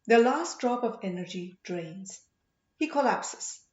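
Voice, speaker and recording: A woman narrating an audiobook story dramatically, in measured short phrases with emphasis. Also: mid-range voice, lively intonation, darkish tone. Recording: echoey room, slightly muffled sound.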